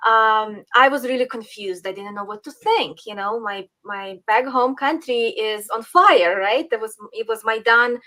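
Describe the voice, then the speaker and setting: Woman, slightly high voice. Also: moderate pace, few pauses, bright, forceful tone. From Western Ukraine. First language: Ukrainian. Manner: earnest, with frequent ums and small laughs. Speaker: podcast guest. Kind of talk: casual interview talk.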